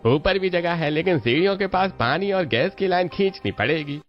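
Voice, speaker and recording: Man voicing an obliging builder, high male voice. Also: lively intonation, normal speed. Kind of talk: Hindi cartoon story dialogue. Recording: dull lo-fi audio with music.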